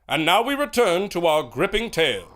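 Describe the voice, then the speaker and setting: A man's voice: sits high, very animated, moderate pace, a bit bright, slight background noise. A man playing an earnest, formal announcer in a 1930s-style radio serial, with a dramatic salesman's delivery. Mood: solemn, formal. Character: earnest old-time radio announcer reading adverts and bulletins.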